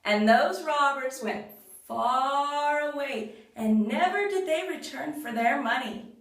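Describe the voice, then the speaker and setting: A very echoey, slightly distant recording of a woman telling a folktale to young children. She has a highish voice and takes her time, giving the refrains a sing-song rhythm. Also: takes it slowly. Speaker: storyteller. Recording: very roomy echo, far from mic.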